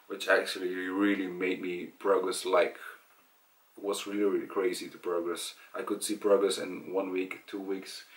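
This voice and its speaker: Young man, low-pitched voice, casual personal storytelling, hesitant phrasing, distant mic. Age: young adult. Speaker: vlogger. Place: small indoor room at home.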